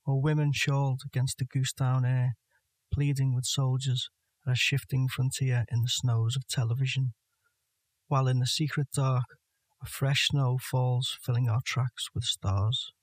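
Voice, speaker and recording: Even-toned man reciting poetry. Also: medium pitch, a little slow. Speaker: poetry reader.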